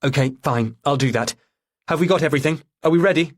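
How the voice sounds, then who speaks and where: A man's voice: a little high, fast, slight background noise, pitched above the performer's own voice, close to an everyday voice. A man voicing a tense, determined mother who speaks urgently and insistently in an audiobook thriller. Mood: urgent, brisk.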